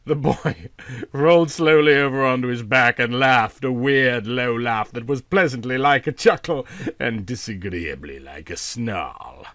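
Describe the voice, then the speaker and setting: A man reading a literary short story aloud as an expressive narrator. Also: mid-range voice, unhurried, no room sound, studio quality.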